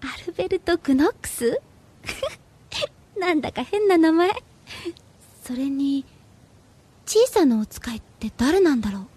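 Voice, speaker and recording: A woman's voice: high-pitched, expressive, a little slow, pauses often, dark tone. A woman acting a curious, outspoken teenage schoolgirl in a radio drama. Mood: amused, wondering.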